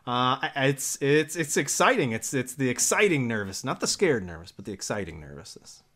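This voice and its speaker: A man's voice: mid-range voice, sing-song, normal speed, a bit bright. A man hosting a casual gaming podcast, upbeat and enthusiastic, a dad in his thirties. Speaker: podcast host.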